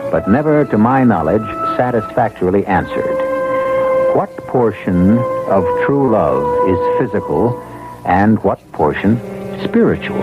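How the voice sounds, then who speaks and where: A man hosting a seventies radio mystery, narrating in a measured, rhetorical, sardonic manner. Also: fairly low pitch. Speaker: radio drama host. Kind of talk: 1970s radio mystery introduction. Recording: muffled vintage radio, music behind.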